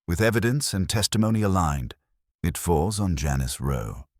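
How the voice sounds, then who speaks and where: A man acts out a brilliant, exacting detective for an audiobook mystery. He is ponderous and formal, with a very deep, rough-edged voice that moves expressively as he pronounces his conclusions. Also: lively intonation, moderate pace, a little mellow, dry recording, clean studio sound. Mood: accusing. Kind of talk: audiobook character dialogue.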